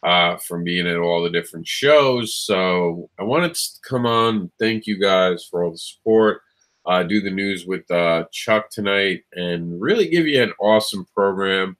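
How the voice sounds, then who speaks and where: A lowish male voice, stuffed up and nasal from a cold that won't clear, with a slightly scratchy throat. He hosts a casual live show, talking loosely with plenty of ums, false starts and self-corrections. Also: animated, measured pace, a bit bright. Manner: rambling, many ums and restarts. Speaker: show host. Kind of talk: casual livestream chat.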